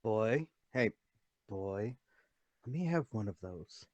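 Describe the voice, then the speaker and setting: Performer doing a low, muttering, curt gentleman. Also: expressive, slow, dry recording, highs cut off. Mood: distracted, curt. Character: curt, distracted, deep-voiced gentleman buying a newspaper.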